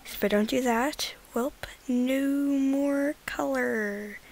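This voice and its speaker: A teenage girl with a raspy voice, rambling casually through an editing tutorial and slipping into whispers at times. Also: high voice, slow pace, a little mellow, rough recording. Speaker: gaming video creator.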